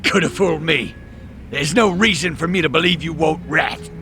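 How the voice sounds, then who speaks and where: An actor playing a cornered, low-ranking young gang member, blunt, sarcastic and foul-mouthed, in an English video game dub. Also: male voice, normal speed, bright, forceful tone, slight background noise. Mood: defiant. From Japan.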